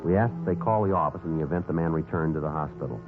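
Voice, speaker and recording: A man playing a terse, methodical police detective in a 1950s radio drama, clipped and deadpan as he questions and narrates. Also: extremely low pitch, rapid-fire, phone-quality sound, music in the background. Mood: dry, factual. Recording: thin 1950s radio recording.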